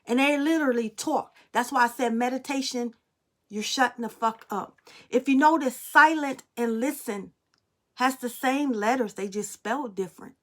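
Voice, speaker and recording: Blunt, profane spiritual woman, fairly high voice. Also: moderate pace, a bit bright. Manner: blunt, emphatic, freely profane. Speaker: spiritual reader. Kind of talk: casual spiritual monologue.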